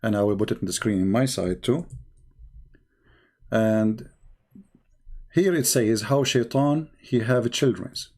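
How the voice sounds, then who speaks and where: A man with an Arabic accent hosting a live-stream debate, insistent, repeating his questions in halting English. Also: lowish voice, a little mellow. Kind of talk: heated call-in debate.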